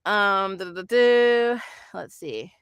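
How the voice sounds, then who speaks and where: A host chatting casually through a fan review, animated and blunt, with plenty of slang. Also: mid-range voice, slow, clear, resonant tone, dry recording. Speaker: review host reading viewer comments.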